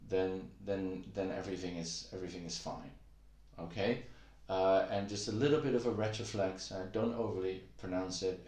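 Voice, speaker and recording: A man's voice: lowish voice, darkish tone. Patient middle-aged male language teacher. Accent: Taiwanese-accented Mandarin. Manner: patient, repeats himself, many fillers. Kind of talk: casual video lesson. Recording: echoey room.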